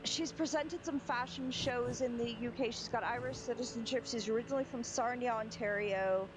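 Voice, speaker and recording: Chatty woman with a higher voice. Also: moderate pace. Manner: chatty, rambling with restarts and fillers. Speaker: sim racing streamer. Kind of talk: casual chat. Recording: background noise from game audio.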